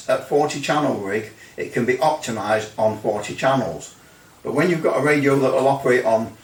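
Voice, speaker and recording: A man giving a casual hands-on demonstration of radio equipment, rambling and hesitant with grumbling asides. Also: mid-range voice. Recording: roomy, distant mic, faint noise.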